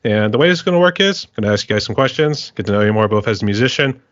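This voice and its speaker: Fast-talking low-voiced American podcast host. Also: male voice, lively intonation, no room sound, dull audio. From United States. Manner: rambling, repeats filler phrases like "here, too". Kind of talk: casual interview hosting.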